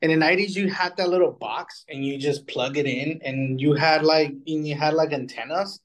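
A man's voice: fairly high pitch, normal speed. A man co-hosting a podcast, giving his reactions in loose, casual conversation. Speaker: podcast co-host.

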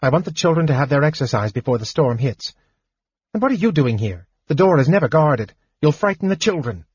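A man voicing Marita, a sharp-tongued woman who looks after children, speaking briskly and then in a hush. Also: low-pitched, animated, slightly rough, no room sound, muffled recording, pitched above the performer's own voice, heavily acted.

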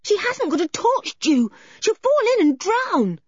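A woman's voice: extremely high pitch, sing-song, measured pace, no echo, lo-fi sound, pitched above the performer's own voice, exaggerated voice. A woman voicing a headstrong, anxious young tomboy in an audiobook, speaking insistently. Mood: panicked. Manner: impetuous, insistent.

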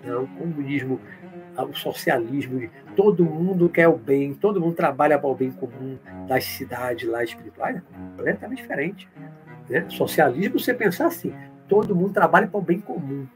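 A man with a fairly high voice that swings widely and expressively. He explains patiently, reads viewer comments aloud and answers each in turn. Soft cello music plays faintly underneath. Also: animated, moderate pace. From Brazil, born in Olinda, Pernambuco. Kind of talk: live-stream talk reading and answering comments.

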